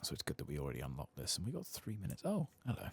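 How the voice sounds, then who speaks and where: A soft-spoken man giving low-key ASMR commentary as he plays a game, muttering to himself. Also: wildly swooping pitch, very fast, gravelly, slight background noise. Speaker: gaming video host.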